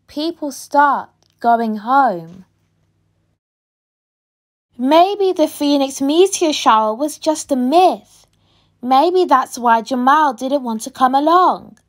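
High-pitched, animated woman reading a storybook. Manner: animated, dramatic delivery. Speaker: storybook reader. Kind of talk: children's picture book read-aloud, expressive storytelling.

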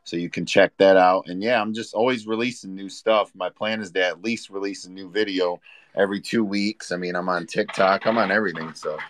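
A man's voice: deep voice, fairly even intonation, a little fast, no echo. A man speaking as a rapper guest in a casual, informal interview chat. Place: live online audio room, voice only.

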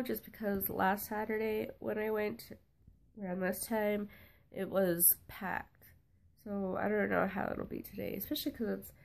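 A woman's voice: medium pitch, vocal fry. A young woman vlogging from her car on a phone held at arm's length. She is stuffed up and losing her voice a little, and she talks in a chatty, rambling way full of "like" and "um.". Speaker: fitness vlogger. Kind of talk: casual vlog chat. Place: parked car. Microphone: phone camera at arm's length.

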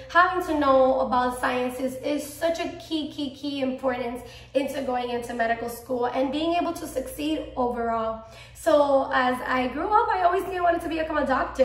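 A woman's voice: sits high, roomy sound. A woman of twenty-four from Miami with a Cuban-American accent, talking to camera in a casual, enthusiastic vlog from a small room at home. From Miami, Florida. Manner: bubbly, enthusiastic, gestures a lot. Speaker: vlogger, medical student.

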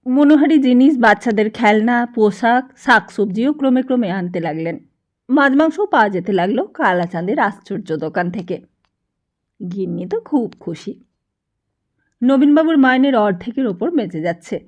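A woman reading a short story aloud in an expressive, scripted narration style. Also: on the high side, moderate pace. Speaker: audiobook narrator. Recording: dull lo-fi narration recording.

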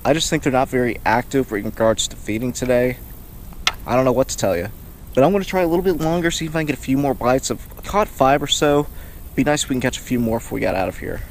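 A young man talks easily about his fishing day, recorded outdoors on a small action camera on a kayak. Water and boat noise sit clearly behind his voice. His pitch is in a typical male range. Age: young adult. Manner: relaxed, chatty commentary while fishing. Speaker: fishing vlogger. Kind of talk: casual outdoor vlog narration. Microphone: action camera mounted on the kayak.

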